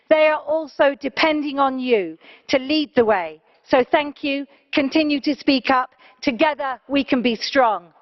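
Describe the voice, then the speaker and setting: A woman's voice: high voice, highs cut off. A woman member of parliament giving a formal, earnest, declamatory speech.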